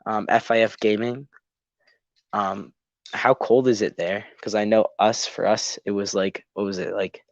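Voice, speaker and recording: A teenage boy from Canada chats casually on a phone live stream, his nose still congested from a cold. His voice sits a little low and rambles with lots of ums, captured dry and close with a dull, lo-fi tone. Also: steady tone, measured pace, gravelly, dry recording. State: congested, a bit sick. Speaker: YouTube channel host. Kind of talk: casual live-stream chat while building.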